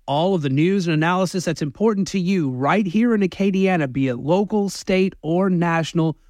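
A man's voice: high-pitched, a little fast, a little mellow, no room sound, studio quality. A man reading an upbeat promo for his radio show.

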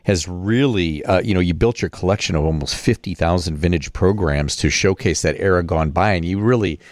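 A man's voice: deep voice, a little fast, no room sound, clean studio sound. An American man hosting a conversational radio interview. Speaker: radio host.

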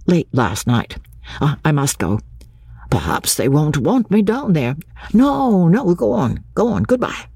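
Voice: medium pitch, big pitch swings, unhurried, creaky, a little mellow, husky, no room sound, clean studio sound, pitched above the performer's own voice, exaggerated voice. A reader as an eager, impulsive young Englishman. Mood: hurried.

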